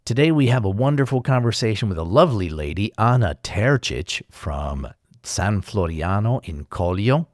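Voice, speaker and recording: A man with a very low voice hosts a relaxed podcast conversation, joking easily with his guest. The recording is dry and close. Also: normal speed, no echo. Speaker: podcast host.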